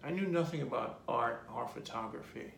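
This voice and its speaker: A man in his forties, an artist telling his life story in a relaxed, rambling way during an interview. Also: medium pitch, moderate pace, gravelly, slight background noise. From Jamaica. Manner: rambling lists, relaxed and reflective. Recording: echoey room.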